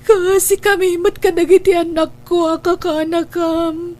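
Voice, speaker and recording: A woman's voice: extremely high pitch, level tone, unhurried, darkish tone. A woman with an Ilocano accent playing a troubled, pleading elder sister and mother in a radio drama, with music behind her. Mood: tearful, pleading.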